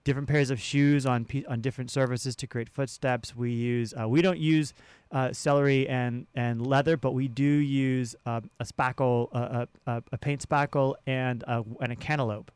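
Guy being interviewed, clean crisp voice, dry sound, keeps repeating words and restarting as he explains. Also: mid-range voice, steady tone, moderate pace, darkish tone, no room sound. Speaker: sound designer and guest. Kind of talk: conversational interview.

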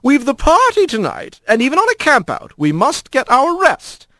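A man voicing a gentle, proper old grandmother opossum in a storybook reading, prim and measured. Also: extremely high pitch, huge pitch swings, no echo, voice pushed up, exaggerated voice. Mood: fond.

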